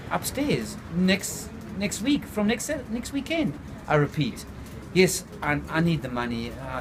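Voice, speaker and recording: A man reading a chapter of his own novel aloud, in slow, brooding first-person narration with a few restarts. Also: on the high side, background noise.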